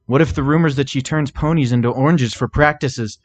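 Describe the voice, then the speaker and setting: A man's voice: mid-range voice, a little fast, muffled recording, voice pushed up, natural voice. A man voicing a nervous, gullible unicorn stallion thief, a reluctant sidekick in a cartoon fan story, anxious and hesitant. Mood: worried. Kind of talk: cartoon fan fiction character voice.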